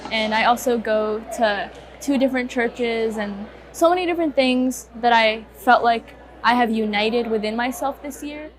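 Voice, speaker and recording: A woman's voice: fairly high pitch, moderate pace. A teenage girl, born in Egypt and a student speaker, reflecting chattily in a casual interview.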